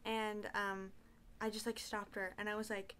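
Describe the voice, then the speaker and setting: A woman's voice: mid-range voice, little pitch movement, moderate pace, fairly bright tone, clear, resonant tone. A young adult woman chatting casually and effusively on a podcast, acting out what people said.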